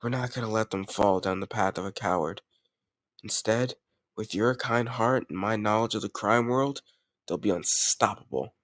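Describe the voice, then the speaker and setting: A man's voice: fairly low pitch, normal speed, a bit bright, no room sound, studio quality, close to an everyday voice. A man playing a confident, doting mafia boss boyfriend in an intimate roleplay audio, speaking affectionately and directly to a lover. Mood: doting, earnest. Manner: affectionate, self-assured, addressing a lover directly. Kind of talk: intimate roleplay audio, scripted romantic monologue.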